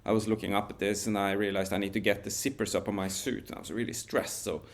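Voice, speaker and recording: A man, telling a story as a podcast guest in a relaxed, measured way with frequent restarts. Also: lowish voice. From Norway. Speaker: guest, wingsuit pilot.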